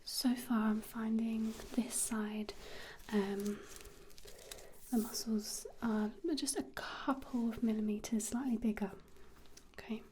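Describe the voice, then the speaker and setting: A woman whispering gently and unhurriedly in an ASMR role-play, as if conducting a measuring appointment. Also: a little high, flat delivery, takes it slowly, a little hiss or hum.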